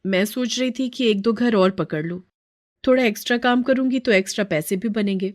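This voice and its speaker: A woman voicing a humble poor mother who works as a maid, gentle, earnest and deferential, in a story. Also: medium pitch, brisk, lightly acted.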